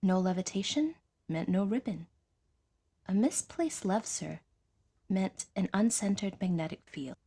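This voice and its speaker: Woman, audiobook narrator, unhurried pace, slightly low pitch, breathy husky tone. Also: darkish tone. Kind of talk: scripted narration of a science fiction story.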